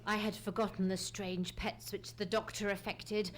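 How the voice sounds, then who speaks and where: A woman's voice: mid-range voice, level tone, normal speed, close to an everyday voice. A woman playing a keen, commanding male detective in a live old-time radio mystery, speaking precisely and theatrically. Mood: brisk, commanding. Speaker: radio play actor. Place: theatre stage. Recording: noisy live theatre stage recording.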